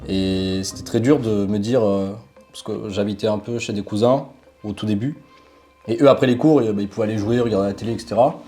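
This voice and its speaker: Young man, Portuguese native, relaxed chatty storyteller with a dark, mellow tone over faint music. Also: fairly low pitch, fairly quick. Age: young adult. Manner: relaxed, animated storytelling with frequent fillers. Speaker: interview guest. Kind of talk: casual conversational storytelling.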